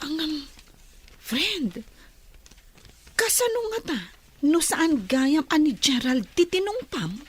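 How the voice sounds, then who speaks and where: A woman's voice: high voice, very animated, slow, pauses often, full character voice. An actress playing a thoughtful, curious young female friend in a radio drama, hesitant and musing. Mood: puzzled, musing.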